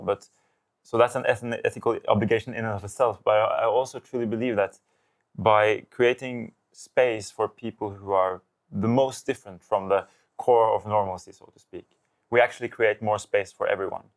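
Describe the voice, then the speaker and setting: A man from Norway, a film director, answering interview questions thoughtfully, with many fillers and restarts. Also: lowish voice.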